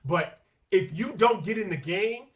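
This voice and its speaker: A man co-hosting a podcast in casual sports chat, animated and slangy, repeating his points for emphasis. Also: high-pitched, a little slow. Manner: animated, quotes players in their own voices. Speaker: podcast co-host.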